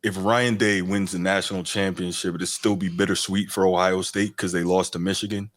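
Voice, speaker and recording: A man's voice: deep voice, flat delivery, brisk, a bit bright. A young man co-hosting a podcast, chatting casually about sports in a laid-back way and leaning on fillers like "man" and "you know". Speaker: podcast co-host.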